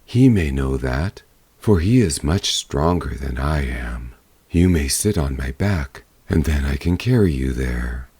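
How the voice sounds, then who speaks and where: Man voicing a low, obliging wind spirit. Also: animated, a little slow, dark tone, husky, full character voice. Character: east wind, obliging male spirit, modest about strength.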